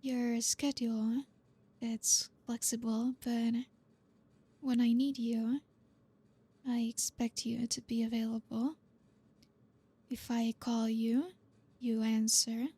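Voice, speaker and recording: Breathy, slow-talking, dominant female boss played by a woman. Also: fairly high pitch, level tone, exaggerated voice. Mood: cool, teasing. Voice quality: soft, breathy, often near whisper. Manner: slow, commanding, teasing, with pauses. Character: flirty, dominant female boss teasing a new employee. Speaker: ASMR roleplay performer. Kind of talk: flirty ASMR roleplay. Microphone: close mic, dry.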